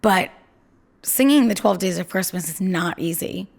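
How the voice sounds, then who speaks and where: Chatty young woman with a gravelly, lively voice. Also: moderate pace. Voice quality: low voice. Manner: chatty, self-deprecating, lots of "like" and "I mean". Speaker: podcast co-host. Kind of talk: casual chat. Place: home.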